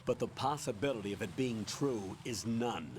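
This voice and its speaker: A man playing a calm, low-voiced colonel. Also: moderate pace, slight background noise, natural voice. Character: calm middle-aged male colonel giving orders. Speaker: commanding officer.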